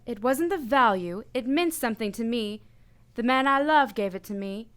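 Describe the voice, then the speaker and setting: A proud, defensive married lady of a noir radio play, acted by a woman. Her voice sits a bit high, with a haughty, emotional edge. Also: animated, moderate pace, clean tone, close to an everyday voice. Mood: defensive, wistful. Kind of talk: 1940s hardboiled radio drama acting.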